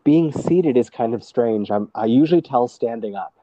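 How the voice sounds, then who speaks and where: A man telling stories as a guest, talking in a hesitant, halting way with many restarts, seated at home on a video call. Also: medium pitch, expressive, lo-fi sound, slight background noise. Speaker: storyteller guest. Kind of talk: casual conversation.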